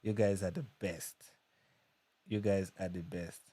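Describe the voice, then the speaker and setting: A man's voice: low voice, steady tone, unhurried, mellow, slightly rough, no room sound. A young Nigerian man hosting a casual livestream, reading viewer comments and chatting with restarts and repeats. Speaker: livestream host.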